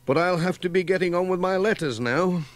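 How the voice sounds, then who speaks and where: A man's voice: high voice, brisk, dry recording, voice pushed up, natural voice. A man voicing a friendly, good-natured village postman in a cheerful, chatty way for a storybook.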